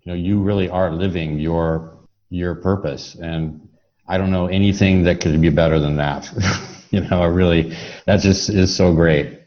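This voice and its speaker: A man's voice: low voice, steady tone, measured pace, darkish tone. A man hosting a conversational interview, speaking in an effusive, appreciative way. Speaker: interview host. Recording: dull, muffled lo-fi audio.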